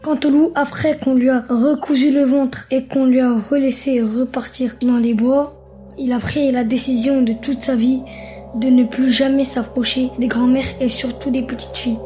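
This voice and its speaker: A woman calmly narrating a fairy tale aloud, doing all the voices. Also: sits high, faint music behind. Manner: calm, deliberate narration. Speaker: storyteller.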